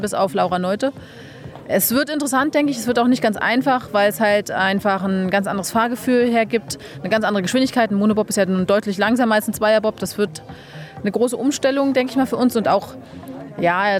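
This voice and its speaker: A young woman, a bobsleigh pilot, answering interview questions frankly and casually, with many fillers. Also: on the high side. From Germany.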